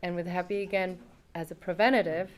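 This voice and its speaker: A woman's voice: lowish voice, steady tone, fairly quick, a little hiss or hum. A woman guest in an interview, speaking earnestly with some non-native phrasing. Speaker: guest, pet supplement founder. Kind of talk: casual interview chat.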